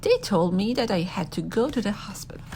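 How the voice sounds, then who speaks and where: A woman reads a children's storybook aloud with lively, swooping intonation. Her mid-pitched voice often drops into a creaky fry. There is a light but noticeable noise in the recording behind her expressive narration. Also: brisk.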